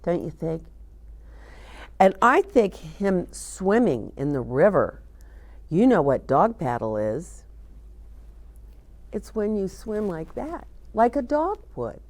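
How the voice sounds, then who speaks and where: Female children's storyteller: unhurried, very expressive, wide swings in pitch, speaking directly to little ones with questions. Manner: animated, sing-song, addresses children with questions. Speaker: children's storyteller and show host. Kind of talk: read-aloud and chat for young children.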